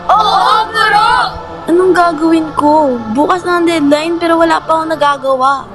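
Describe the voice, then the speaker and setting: A woman's voice: very high voice, moderate pace, loud music behind the voice. A woman playing a troubled, defensive schoolgirl in an amateur radio drama. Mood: panicked. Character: troubled schoolgirl Sheila, snappy and defensive with family.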